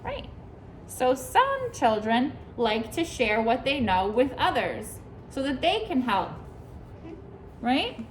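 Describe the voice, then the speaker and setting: High-voiced teacher quizzing her class. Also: sing-song, slow, slight background noise. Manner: asks the children questions and praises their answers. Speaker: preschool teacher. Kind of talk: reading a picture book aloud to a class. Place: classroom with young children.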